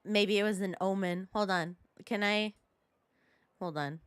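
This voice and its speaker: A woman's voice: medium pitch, steady tone, measured pace, clean tone, dry recording. A young adult woman giving casual live game commentary as a streamer, in playful, rambling asides with repeated words.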